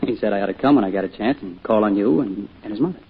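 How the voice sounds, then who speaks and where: An American actor playing a young orphaned sailor, earnest, shy and polite, speaking hesitantly in 1940s radio drama style. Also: male voice, lowish voice, rapid-fire, lightly acted. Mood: polite, bashful. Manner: hesitant, humble, folksy. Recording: tinny, noisy old radio broadcast.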